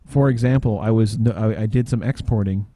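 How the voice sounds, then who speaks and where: A man giving an informal software demo, halting and tangential as he thinks aloud in casual talk. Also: on the low side. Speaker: podcast panelist demonstrating software.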